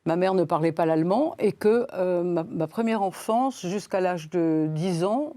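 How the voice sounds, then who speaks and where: A woman's voice: fairly low pitch, unhurried, dark tone, no room sound. A woman in her eighties, a guest in a studio interview, talking in a rambling way with many hesitations and repeated words. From Switzerland. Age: 80s. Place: studio set.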